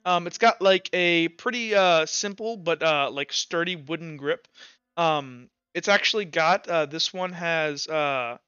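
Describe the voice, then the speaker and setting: Game master: man, forceful crisp tone, higher register, halting improvised narration, dry and slightly muffled recording. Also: no echo. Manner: halting, repetitive, casual phrasing.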